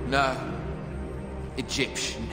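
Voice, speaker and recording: An actor voicing a cruel, power-mad Roman commander in a video game cutscene, taunting and shouting. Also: male voice, mid-range voice, level tone, takes it slowly, music in the background, lightly acted. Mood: raging. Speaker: villain. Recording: echoey hall under loud score.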